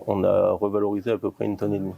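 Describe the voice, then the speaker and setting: Low, gravelly Frenchman explaining his work. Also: monotone, racing, phone-quality sound. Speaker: company co-founder being interviewed. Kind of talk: informal interview explanation.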